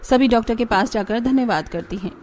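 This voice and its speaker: A woman's voice: a little high, a little fast, a little mellow, slight background noise. A woman giving scripted narration for a cartoon story.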